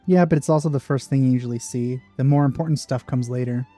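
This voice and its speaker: A man's voice: mid-range voice, fairly even intonation, quick pace, mellow, soft background music. A man giving casual let's play commentary as a gaming channel host.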